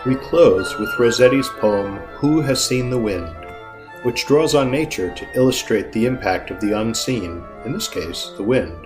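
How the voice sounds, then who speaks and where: A man reading scripted podcast announcements in a formal, measured way. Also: medium pitch, lively intonation. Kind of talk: scripted narration. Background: music.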